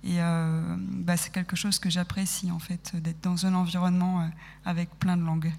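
Woman in her 30s on the radio, thinks out loud, halting, echoey room. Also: lowish voice, flat delivery, gravelly. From France. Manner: thoughtful, halting, self-correcting. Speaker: guest, sound podcast maker. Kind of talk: radio interview conversation.

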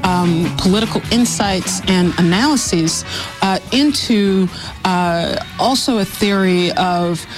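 A woman explaining something unscripted, haltingly, with many pauses. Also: medium pitch. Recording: archive clip under clear guitar music. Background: guitar music.

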